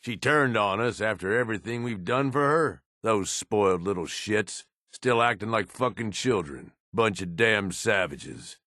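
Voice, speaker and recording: A man playing a gruff, middle-aged American raider henchman in a video game, cynical but loyal, with folksy, profane, drawling speech. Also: lowish voice, a little slow, few pauses, sharp tone, no echo, clean studio sound. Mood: bitter, angry. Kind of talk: video game companion dialogue.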